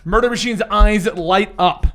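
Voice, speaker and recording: A man's voice: high-pitched, measured pace, fairly bright tone. A man acting as game master, narrating a tabletop superhero game in a casual, joking way with frequent laughter. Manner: joking, informal, laughs often.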